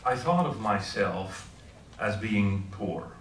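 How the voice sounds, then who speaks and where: A man's voice: on the low side, expressive, slow pace, fairly bright tone, distant mic, a little hiss or hum. A middle-aged man from Switzerland, a professor, giving an informal talk and asking the audience questions. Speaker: guest speaker. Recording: echoey room, voice heard from afar.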